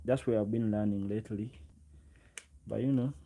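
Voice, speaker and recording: A man in his twenties with a Ugandan accent and a low, creaky voice talks to his livestream viewers. He chats casually, addressing people directly as he answers their comments, and often says a phrase over again. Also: fairly even intonation, normal speed, mellow. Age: 20s. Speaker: live streamer.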